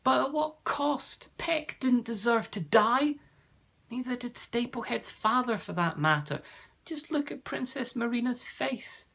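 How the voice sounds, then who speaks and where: A man voicing an earnest young boy hero who speaks up in a higher voice. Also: animated, measured pace, creaky, a bit bright, full character voice. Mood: upset.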